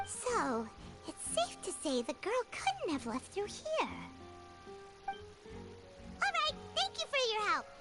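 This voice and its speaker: Super high tiny sprite girl, nosy, refers to herself by name, music behind. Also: huge pitch swings, slow pace, pauses often, full character voice. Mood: curious. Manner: talks about herself in the third person. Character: tiny floating girlish companion sprite, chirpy and nosy. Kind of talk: game cutscene voice acting. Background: game music.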